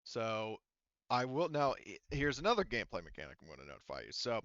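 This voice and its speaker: A man speaking as game master, explaining a tabletop roleplaying game in a casual, halting way. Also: lowish voice, wildly swooping pitch, a bit bright, no room sound, highs cut off.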